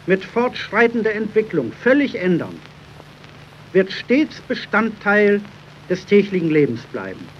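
Noisy old recording, slow ceremonial male orator. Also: high voice. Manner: ceremonial, measured phrases. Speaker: broadcasting official giving an address. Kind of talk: formal early radio commemorative speech. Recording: dull, noisy archival early radio recording.

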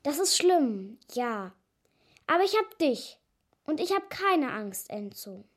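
A woman's voice: sits high, very animated, takes it slowly, heavily acted. A woman playing a plucky, stubborn young girl in a children's radio play, eager and insistent in manner. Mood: pleading, insistent.

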